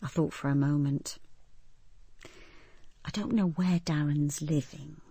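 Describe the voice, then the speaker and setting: A British woman inspector tells of her case in a radio drama. Her voice is low and soft-toned with a husky grain, in a dry studio sound. She narrates with composure, like someone telling a story. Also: steady tone, slow pace, dry recording, exaggerated voice. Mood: composed.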